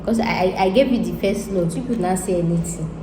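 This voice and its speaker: A woman's voice: on the low side, fairly quick. Rambling Nigerian woman in echoey room. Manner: rambling, thinks aloud, repeats herself. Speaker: YouTuber. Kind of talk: casual monologue to viewers. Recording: echoey room with faint ambient noise.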